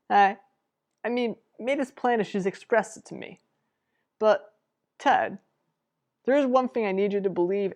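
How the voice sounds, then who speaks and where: An actress playing an earnest, protective sister in a radio soap drama, speaking deliberately and persuasively. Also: female voice, medium pitch, a little mellow, natural voice. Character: earnest, protective sister pleading for a troubled couple. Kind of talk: revived vintage radio soap drama acting.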